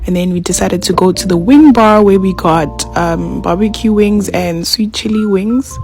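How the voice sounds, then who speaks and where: A woman narrates a day-out vlog in a casual, relaxed way, recounting where they went. Light music plays faintly under her voice. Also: mid-range voice, normal speed.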